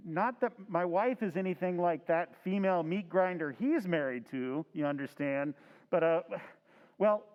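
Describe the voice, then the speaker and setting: An actor playing a nervous, scheming middle-aged husband in an old-time radio drama recreation, hesitant and stammering. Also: male voice, high voice, moderate pace, in a large hall, natural voice. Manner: hesitant, full of uh's and stammers. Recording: dull, lo-fi sound.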